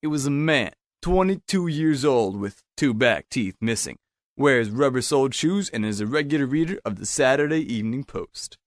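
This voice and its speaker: A pompous 1930s hotel detective from an audio drama, played by a man, full of bumbling self-assurance. His medium-pitched voice rises and falls dramatically as he declaims his deductions. The recording is dry and close. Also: expressive, normal speed, no echo, close to an everyday voice. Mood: indignant, cocksure. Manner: pompous, rattling off absurd deductions.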